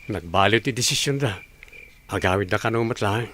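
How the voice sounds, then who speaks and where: A man's voice: lowish voice, moderate pace, fairly bright tone, slight background noise, lightly acted. A man playing an insistent husband and son in an audio drama. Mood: defensive. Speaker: voice actor. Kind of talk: dramatised audio story acting.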